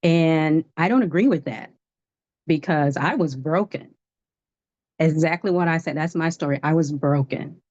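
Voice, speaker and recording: A woman in her fifties with a low voice tells her story as a guest, earnest and testifying, now and then laughing at herself. The sound is dry and a little dull, like a lo-fi online call. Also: unhurried, a little mellow, no room sound. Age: 50s. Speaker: guest life coach. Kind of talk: livestream testimony.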